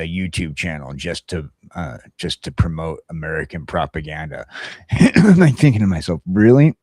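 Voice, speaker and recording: Very deep-voiced man chatting on a talk show. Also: darkish tone, dry recording, clean studio sound. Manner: halting, repetitive, opinionated. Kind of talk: casual morning chat show talk.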